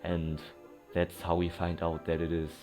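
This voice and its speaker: Low-voiced man rambling over a game. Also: completely flat delivery, unhurried, a little mellow. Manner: rambling, self-correcting, thinking aloud. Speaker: gaming streamer. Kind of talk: casual let's play commentary. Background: game music.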